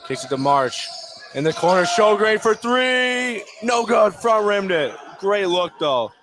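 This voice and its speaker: A man doing live play-by-play basketball commentary in clipped phrases, with excitable calls on big plays. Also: high-pitched, a little slow, noisy background. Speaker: play-by-play sports announcer. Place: courtside at a basketball game.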